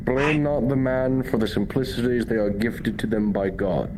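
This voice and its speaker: A man's voice: mid-range voice, fairly even intonation, normal speed, background noise, natural voice. A man playing a grave war preacher in a fantasy abbey roleplay, speaking in formal, sermonizing language over live online voice chat. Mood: solemn, preaching. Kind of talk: improvised fantasy roleplay, archaic formal speech.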